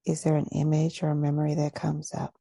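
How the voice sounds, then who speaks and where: A woman guides a meditation in a low, husky voice with a gravelly edge. She speaks softly and deliberately, leaving long, gentle pauses. The sound is close and dry, with very little room around her. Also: monotone, dry recording. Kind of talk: guided meditation, soft and soothing.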